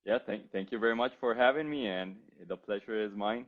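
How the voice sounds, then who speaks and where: A man's voice: on the low side, fairly quick. A man in his thirties with a Costa Rican accent, answering interview questions politely and somewhat formally over a video call. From Costa Rica. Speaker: interview guest, physician. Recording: dull, lo-fi call audio.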